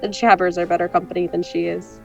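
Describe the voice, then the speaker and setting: A woman's voice: on the low side, level tone, fast, gravelly, highs cut off, faint music behind. A young woman playing a brash, sarcastic, teasing friend in a scripted romantic comedy audio drama. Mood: sardonic, animated. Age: young adult. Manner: sardonic, teasing.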